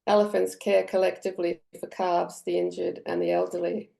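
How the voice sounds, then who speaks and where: Low gravelly Australian woman, earnest. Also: level tone, fairly quick, warm tone, rough recording. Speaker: webinar presenter. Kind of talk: reading a prepared talk.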